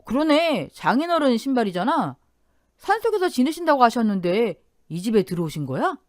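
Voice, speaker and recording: A woman voicing a gentle, soothing husband. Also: very high-pitched, big pitch swings, a little fast, no echo, studio quality. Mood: puzzled. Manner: soothing, cautious. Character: gentle husband, young to middle-aged man.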